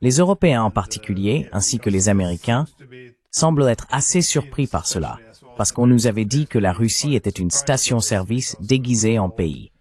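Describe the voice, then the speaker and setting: A man with a fairly low voice voices an interview host in translation. His delivery is formal and read-out as he lays out background and questions. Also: expressive, normal speed, a little mellow.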